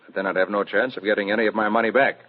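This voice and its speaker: An actor playing an urbane, self-assured theater producer in an old-time radio mystery, frank and brusque in manner. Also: male voice, lowish voice, fairly even intonation, very fast, close to an everyday voice. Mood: frank, wry. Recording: dull, muffled old radio recording.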